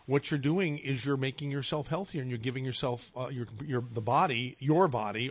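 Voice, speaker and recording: A man's voice: mid-range voice, no room sound. A man hosting a call-in radio show in a casual, jokey, rambling way, often restarting his phrases. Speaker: radio talk show host. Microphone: studio microphone. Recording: dull, dry radio studio sound.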